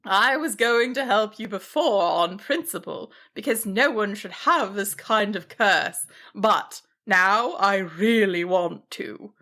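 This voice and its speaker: A big protective storybook dragon who speaks slowly and loudly, stretching her words. A woman plays her with a gravelly, bright edge. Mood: kindly. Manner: slow, drawn-out and booming. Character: big kind but fearsome female dragon, protective.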